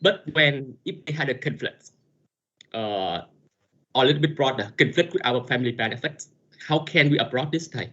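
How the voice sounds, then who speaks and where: A man asks a long question at a middle pitch. He keeps stopping and repeating words while he looks for the right phrasing. His voice comes through dull and muffled, like audio over a call. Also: animated, normal speed, fairly bright tone. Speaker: audience member asking a question. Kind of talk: asking a question in an online talk.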